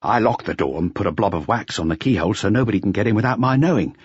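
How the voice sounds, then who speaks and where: A man voicing an eager, chatty junior police detective who briskly confides his report to the chief. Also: lowish voice, animated, husky, no room sound, highs cut off. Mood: brisk, confiding.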